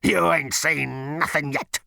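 A man's voice: fairly high pitch, steady tone, creaky, sharp tone, no room sound, clean studio sound, pitched above the performer's own voice, heavily acted. A man voicing a huge, dim, blustering battle machine that bellows and is easily enraged, in an audiobook. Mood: blustering fury. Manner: bellowing, slow on the uptake. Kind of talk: audiobook character voice.

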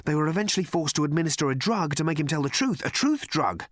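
Crazed weeping journalist for an audiobook, high strained whimper, swings all over, repeats himself. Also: male voice, expressive, no echo, studio quality. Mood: agitated, halting. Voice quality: whimpering, hoarse whisper rising to strained high cries. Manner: halting, repetitive, overwrought.

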